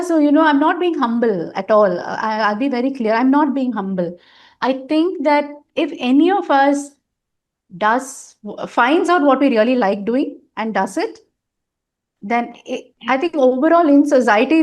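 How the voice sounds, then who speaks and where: A woman's voice: sits high, expressive, moderate pace. Talkative emphatic woman. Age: middle-aged. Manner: talkative, emphatic, with frequent fillers and restarts. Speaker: interview guest, nonprofit governance advisor. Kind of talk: candid conversational interview.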